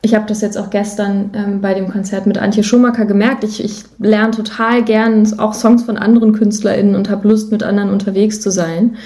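A woman's voice: mid-range voice, little pitch movement, brisk, hardly any pauses, mellow. A woman speaking as an interview guest in casual chat, hesitant and thoughtful in how she puts things. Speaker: podcast guest, musician and songwriter.

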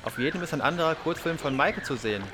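A man's voice: a little high, expressive, rapid-fire, music in the background, lightly acted. A man playing an observant, factual member of an adventuring crew in radio play dialogue. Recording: clear background noise.

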